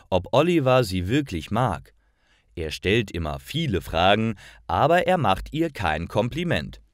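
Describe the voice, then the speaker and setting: Slow, expressive low-voiced male story narrator. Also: no echo, studio quality. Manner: careful, deliberate enunciation. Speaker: language-course narrator. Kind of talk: scripted story narration for language learners.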